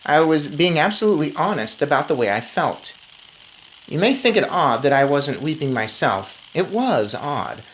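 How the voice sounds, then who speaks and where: A woman reading a children's story aloud in an expressive narrating style. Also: sits low, moderate pace, slight background noise, poor audio. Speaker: story reader.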